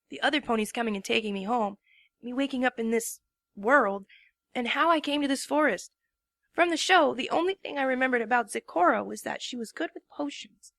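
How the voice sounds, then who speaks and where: A woman's voice: high voice, a bit bright, dry recording. A woman doing a drowsy pegasus narrating. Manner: first-person storytelling, drowsy. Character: tired tomboyish young female pegasus narrating her story. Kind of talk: first-person narration in a fan dramatic reading.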